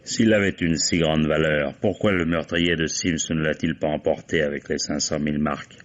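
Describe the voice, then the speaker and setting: An actor playing a shrewd police commissioner in a vintage French radio mystery, measured and courteous with an ironic edge. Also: male voice, a bass voice, steady tone. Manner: measured, ironic, mock-polite. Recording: dull vintage radio recording.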